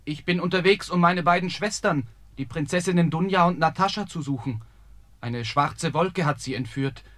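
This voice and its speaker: A man's voice: on the high side, normal speed, fairly bright tone, natural voice. A man playing a brave young prince. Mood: polite. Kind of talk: audio drama acting.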